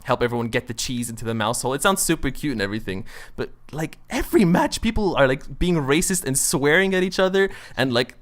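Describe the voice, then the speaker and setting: A man's voice: mid-range voice, animated, quick pace, few pauses. A man chatting casually and enthusiastically on a gaming podcast as its host, swearing freely.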